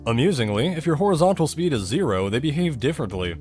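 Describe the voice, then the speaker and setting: A man narrates a scripted technical explainer about a video game. His pitch moves up and down a lot as he lays things out step by step, with dry humor in the delivery. Music plays along with the voice. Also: expressive, fast talker, darkish tone.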